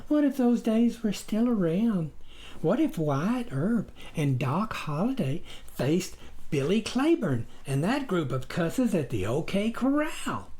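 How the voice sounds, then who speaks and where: Whiny, hopeful little sidekick played by a male narrator: raised pitch, pleading, hesitant, very animated. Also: slow, full character voice. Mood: dreamy wondering. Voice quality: whiny, sighing. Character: whiny, dreamy, earnest imagined sidekick, timidly hopeful.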